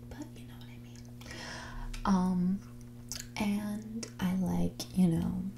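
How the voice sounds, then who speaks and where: A woman's voice: mid-range voice, vocal fry, dark tone, slight background noise. Woman acting a whispering, snobbish young rich heiress. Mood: self-satisfied. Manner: condescending, blunt, drawling with frequent "like". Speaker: ASMR roleplay performer. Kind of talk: whispered and soft-spoken ASMR roleplay.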